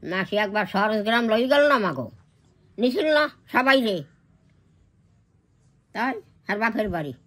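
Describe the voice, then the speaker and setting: A woman's voice: on the high side, lively intonation, unhurried, pauses often, a bit bright. Older woman with East Bengali accent. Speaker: grandmother. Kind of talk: casual family chat.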